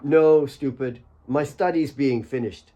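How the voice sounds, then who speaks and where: A man's voice: medium pitch, a little slow, mellow, natural voice. A man voicing a petite, spirited young woman in an audiobook, teasing and direct in manner.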